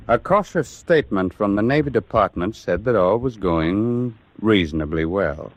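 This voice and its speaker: An actor playing a sober, formal reporter narrating a wartime radio documentary drama. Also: male voice, low-pitched, dry recording. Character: sober male reporter narrating the Atlantic war.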